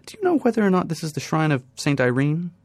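A man reading the part of a young woman student with a Turkish accent, speaking softly, politely and a little hesitantly. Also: fairly high pitch, very animated, fast talker, dry recording, voice pushed up. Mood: wistful. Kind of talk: audiobook character voice.

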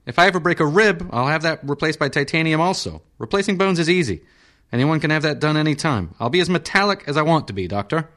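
A petulant senator pushing sixty snaps his questions in a raised, strained voice that is pitched well above a plain speaking voice, as a male narrator puts him on. He is restless and demanding, and his voice rises and falls sharply in an audiobook reading. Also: expressive, fast talker, fairly bright tone. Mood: peevish. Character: peevish, irritable male senator in his fifties.

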